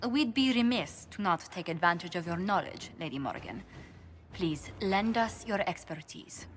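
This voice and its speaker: A woman's voice: lowish voice, animated, moderate pace, slight background noise, natural voice. A woman playing a polite, anxious diplomatic adviser in a fantasy video game, courteous and formal. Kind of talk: video game cutscene acting.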